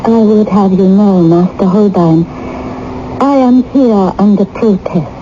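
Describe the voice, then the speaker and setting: A woman's voice: medium pitch, measured pace, close to an everyday voice. A woman playing a proud, melancholy young princess in 1980s radio drama, formal and theatrical in her delivery. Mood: haughty protest. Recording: dull, noisy vintage radio broadcast.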